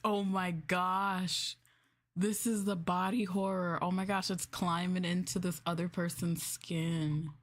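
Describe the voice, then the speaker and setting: A woman hosting a casual livestream, chatting to her viewers in an expressive, giggly manner with drawn-out exclamations. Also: fairly low pitch. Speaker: livestream host reading scripts to chat.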